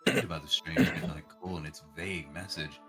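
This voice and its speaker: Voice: very deep voice, steady tone, a little fast, over music. A streamer reading a visual novel's narration aloud in a dramatic way.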